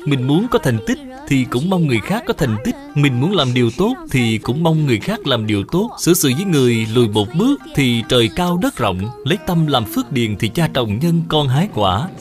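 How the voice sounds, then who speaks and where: A male narrator delivers a scripted moral story. His pitch sits in the middle and moves in an animated way, with clear music behind. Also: a little fast, few pauses.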